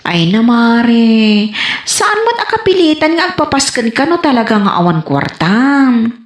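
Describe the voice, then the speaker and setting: A woman's voice: on the high side, sing-song, measured pace. A woman playing a scolding, easily embarrassed village wife in an Ilocano comedy radio drama, with an Ilocano accent. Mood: exasperated. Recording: roomy echoey sound.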